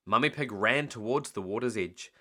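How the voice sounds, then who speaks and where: A man reading a picture book aloud as a bedtime story, slow and expressive. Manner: slow, expressive reading with lively swings of pitch.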